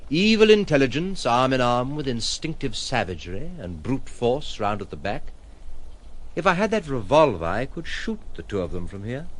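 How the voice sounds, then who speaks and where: An actor playing a troubled, principled gentleman in an audio drama, articulate, formal and brooding. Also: medium pitch, sing-song, moderate pace, natural voice, low-quality recording. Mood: brooding, dramatic. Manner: articulate, formal, theatrical phrasing.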